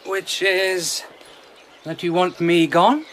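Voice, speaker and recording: An actor playing a playful, unafraid trickster and court favourite, politely teasing and taunting in a radio drama. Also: male voice, high voice, steady tone, slow pace, fairly bright tone, slight background noise. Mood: calm, provoking.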